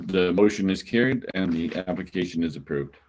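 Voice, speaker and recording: Man, low voice, committee chair reading a resolution at a measured pace, muffled online meeting audio. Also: animated, a little mellow, a little hiss or hum. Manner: measured, reads resolutions aloud.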